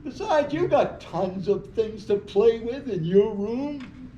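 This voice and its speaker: Casting: busy, bossy big brother read by an actor for a stage reading; mellow tone, echoey room. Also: mid-range voice, lively intonation, slow. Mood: annoyed, busy. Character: busy, bossy older brother absorbed in building Lego. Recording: muffled, echoey room with faint noise.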